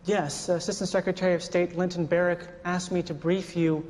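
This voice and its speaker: A man's voice: high-pitched, flat delivery, a little fast, a little mellow, lightly acted. An actor playing an earnest, officious male government aide in his twenties, hesitant and stiffly polite, in a screen satire. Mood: stiff, unsure. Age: 20s. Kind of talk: scripted satirical screen acting. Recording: very reverberant room, faint noise.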